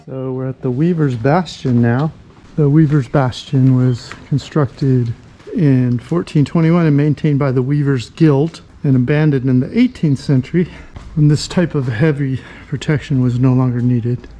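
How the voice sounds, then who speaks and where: A man's voice: mid-range voice, fairly even intonation, moderate pace, dark tone, rough recording. A man narrating a sightseeing walk as a casual travel vlogger.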